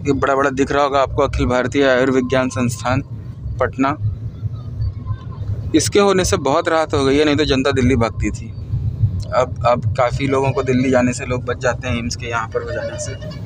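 A man's voice: mid-range voice, steady tone, normal speed, distant mic. A man hosting a casual travel vlog from inside a moving car, chatting like a tour guide about the sights. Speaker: vlogger. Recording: loud road noise, off-mic in car.

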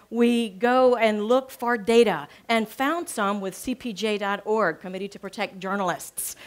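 A middle-aged American woman, a professor, giving a conversational slide talk and explaining things with emphasis on key words. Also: medium pitch. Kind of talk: informal lecture with slides. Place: medium-sized cafe room with an audience, indoors.

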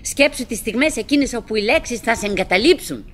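An actress playing a chatty middle-aged wife in a theatrical radio drama monologue, halting and musing, by turns coaxing and murmuring, wistful, fretful and forcedly cheerful. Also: female voice, on the high side, lively intonation, fairly bright tone. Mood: self-admonishing, musing. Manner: halting, musing, self-interrupting, swinging between coaxing and murmuring.

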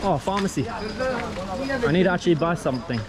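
A man's voice: sits high, very animated, normal speed, over a noisy crowd. A young Australian man vlogging his travels, chatting enthusiastically about street food and the people he meets while out on a busy city street at night. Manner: enthusiastic, easily impressed, laughing often. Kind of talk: casual travel vlog chat. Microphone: action camera held at arm's length.

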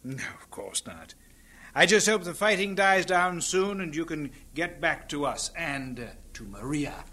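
A kindly friend in a radio play, fond of teasing. His voice sits a little high and moves up and down in a very animated way. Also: measured pace, full character voice. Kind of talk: dramatised radio-play acting.

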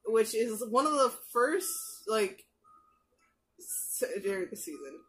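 A woman's voice: on the high side, big pitch swings, fairly bright tone, low-quality recording. A young woman talks loosely and casually, piling up fillers and restarting her sentences as she goes. She sounds close to a headset microphone in a small bedroom. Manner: chatty, many fillers and restarts, quick asides. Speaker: anime reaction youtuber. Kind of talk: casual rambling commentary. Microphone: gaming headset mic.

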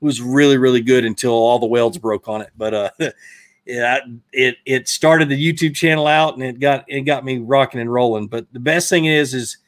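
A man's voice: medium pitch, fairly even intonation, normal speed. Rambling Southern man answering live chat. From Georgia, US. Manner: casual, rambling, self-correcting. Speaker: fishing channel host. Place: indoor studio room, brick wall. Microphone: desk mic in front.

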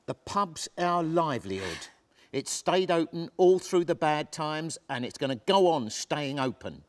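An actor reading the part of a stubborn, steadfast pub landlord, speaking earnestly and emphatically in a stage drama. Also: male voice, a little high, lively intonation, unhurried. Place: theatre stage, seated reading.

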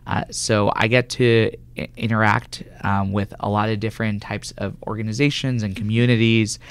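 A man's voice: on the low side, little pitch movement, normal speed, clean tone. A man from California answering conversationally as an interview guest, a government health official, in a radio studio. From California, United States.

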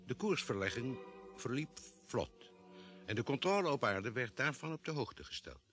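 A man's voice: mid-range voice, big pitch swings, normal speed, over music, natural voice. A man playing a spaceship doctor who narrates formally in an old radio drama. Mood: measured, serious. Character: spaceship doctor recounting a Mars expedition.

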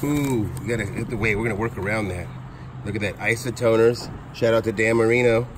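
Excitable man unboxing outdoors. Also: lowish voice, fairly quick. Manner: excited, chatty, talks to viewers. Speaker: storage auction reseller. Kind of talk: casual unboxing vlog. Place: outdoors by storage units and truck. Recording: outdoor noise behind voice.

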